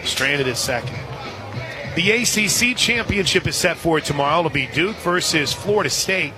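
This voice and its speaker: A man calling softball play-by-play on the radio, conversationally filling in scores and details between pitches. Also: lively intonation, normal speed, over music. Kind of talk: live softball radio commentary. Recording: outdoor stadium crowd behind the voice. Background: crowd noise.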